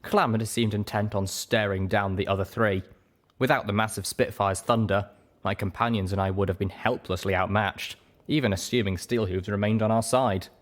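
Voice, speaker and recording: Male narrator for first-person fiction, fairly low register, scripted storytelling delivery. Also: fairly even intonation, moderate pace. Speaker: story reader.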